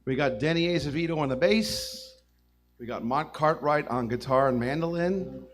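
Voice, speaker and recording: A man's voice: on the high side, moderate pace, very noisy. Male bandleader talking casually on stage. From Florida, United States. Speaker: singer and bandleader. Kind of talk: casual stage introduction of band and songs. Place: live music show on a stage.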